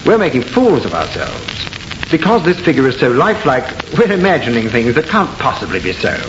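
Animated genteel gentleman, echoey old radio. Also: medium pitch, brisk. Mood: reasoning. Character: genteel, excitable gentleman friend. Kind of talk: old-time radio horror acting. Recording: noisy, dull, echoey vintage radio recording.

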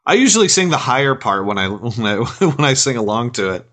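A man co-hosting a casual podcast chat, talking in a halting way with many repeated words. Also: mid-range voice, very animated, a bit bright. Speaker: podcast co-host.

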